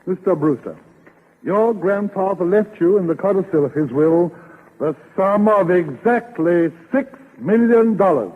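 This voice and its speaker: High-voiced, fussy lawyer, old radio. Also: male voice, expressive, unhurried. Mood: earnest. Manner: earnest, careful, formal. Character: earnest, fussy male lawyer breaking news gently. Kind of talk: 1930s radio comedy acting. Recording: muffled old 1930s radio recording.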